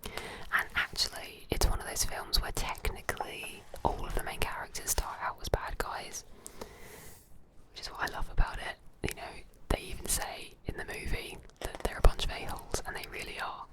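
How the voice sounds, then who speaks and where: A woman in a soft, breathy, unvoiced whisper, giving gentle, chatty, admiring ASMR commentary at an unhurried pace. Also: rough recording. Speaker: ASMR host. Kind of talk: whispered ASMR commentary while leafing through an art book.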